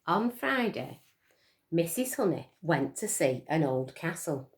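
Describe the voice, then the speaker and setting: A woman's voice: low voice, big pitch swings, slow pace. A woman reading a picture book aloud to young children, expressively, with questions to her listeners. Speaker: storytime reader for children.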